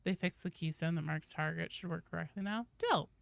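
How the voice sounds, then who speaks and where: A woman's voice: fairly low pitch, a little fast, gravelly, dry recording. A woman hosting a game stream, chatting casually and reading out viewer comments in informal, slangy language.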